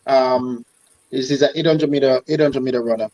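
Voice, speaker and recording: A man's voice: mid-range voice, fairly even intonation, fairly quick, darkish tone, rough recording. A man, an athletics coach chatting casually as a guest, often repeating himself in short phrases. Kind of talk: casual chat.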